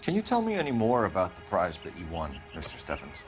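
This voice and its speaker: Low-voiced, formal American police detective. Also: male voice, wildly swooping pitch, quick pace. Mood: formal, probing. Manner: clipped, formal, official. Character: male 1940s Los Angeles police detective, formal and probing. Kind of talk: video game dialogue, questioning witnesses. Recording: music behind the voice.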